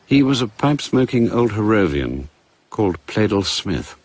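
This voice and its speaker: An actor giving measured, grave scripted narration for a radio drama in a British accent. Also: male voice, fairly low pitch, big pitch swings, a little mellow. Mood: measured, pondering. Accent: British English.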